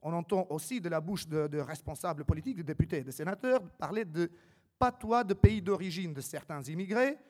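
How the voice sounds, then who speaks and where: A man from Albania addresses a public gathering in a somewhat high-pitched voice. He speaks off the cuff with wry irony, leaning on rhetorical questions and repetition. The sound carries the long reverb of a hall. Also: brisk, darkish tone. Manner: wry, ironic humour. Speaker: invited speaker. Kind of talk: public talk, semi-improvised.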